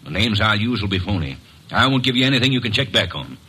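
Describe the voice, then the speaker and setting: A low gruff vengeful brute. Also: male voice, very animated, fast, sharp tone, heavily acted, poor audio. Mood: grim, insistent. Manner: blunt, gruff, determined. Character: big burly bearded man, grim and vengeful. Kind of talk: 1940s radio acting.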